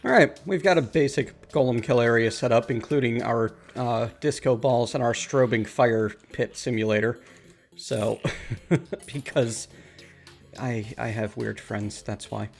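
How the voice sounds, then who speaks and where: A man's voice: mid-range voice, unhurried, creaky, soft background music. A man hosting a casual multiplayer gaming chat, upbeat and explaining items to friends. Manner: upbeat, explains game items, laughs easily. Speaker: host.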